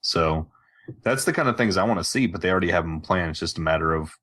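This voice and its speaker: A man's voice: low voice, expressive, quick pace, pushed and bright. A man hosting a casual gaming podcast, chatting informally. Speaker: podcast host.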